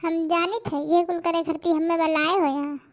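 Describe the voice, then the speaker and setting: A woman voices a young village sweetheart in a cartoon, with an extremely high, childlike pitch and an Awadhi accent. She is sulky and sharp-tongued, scolding with impatience. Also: little pitch movement, normal speed. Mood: wary. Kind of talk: comic rustic cartoon dialogue.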